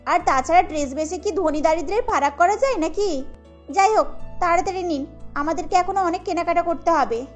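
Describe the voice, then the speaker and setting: Headstrong young bride-to-be, woman performer: pointed, girlish, extremely high voice, music behind. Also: brisk. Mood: smug, challenging. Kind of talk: cartoon voice acting.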